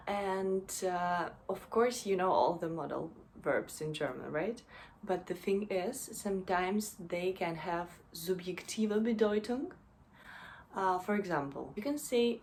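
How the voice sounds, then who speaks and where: A young woman walks through grammar points in a relaxed, slow manner, often pausing on fillers and checking in with "right?". Her voice sits a little low, and faint background noise is heard under it. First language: Russian. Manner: unhurried, with fillers and frequent "right?". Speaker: German language teacher. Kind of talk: casual explainer lesson.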